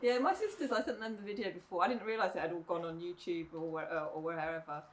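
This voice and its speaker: Reflective middle-aged woman, fast. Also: lowish voice, crowd noise. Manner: reflective, with frequent "um" and "you know". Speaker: guest, mother of the other guest. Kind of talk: casual podcast chat. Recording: faint background noise.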